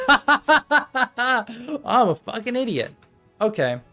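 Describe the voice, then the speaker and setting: A man's voice: very high voice, very animated, drawn-out words, pushed and bright. A young man streaming live, chatting casually and muttering to himself while setting up, with music playing behind him. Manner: rambling, self-talking while fiddling with setup. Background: background music.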